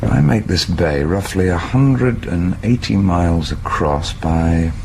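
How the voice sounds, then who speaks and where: A man's voice: low voice, lively intonation, slow pace, gravelly, darkish tone, a little hiss or hum, full character voice. A man acting a wry, stubborn scientist in an audio drama, with a dry, teasing manner. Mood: pondering. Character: wry divorced male Antarctic scientist, flippant yet stubborn.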